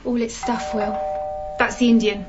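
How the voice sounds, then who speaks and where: Higher-pitched young British woman, as acted. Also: level tone, moderate pace, lightly acted. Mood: teasing, defensive. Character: worried, teasing young pregnant partner. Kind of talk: naturalistic radio drama acting. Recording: slightly muffled radio recording, faint noise.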